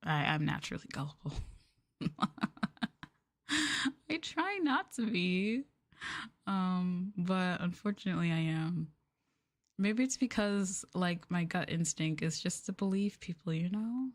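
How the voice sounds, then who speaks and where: Canadian girl rambling on her stream, kinda low voice, giggly, says like a lot. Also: animated, unhurried, long pauses. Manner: rambling, giggly, self-deprecating, lots of "like" and "um". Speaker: VTuber streamer. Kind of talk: casual late-night chat stream.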